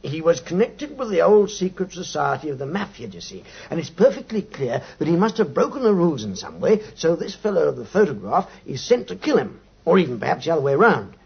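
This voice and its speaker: A self-satisfied British police inspector, boastful and emphatic. The voice is gravelly and rather high, on a dull vintage radio drama recording. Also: male voice, big pitch swings, brisk, few pauses, heavily acted. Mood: smug, boastful. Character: cocky, self-satisfied male Scotland Yard police inspector. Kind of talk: 1950s radio drama acting.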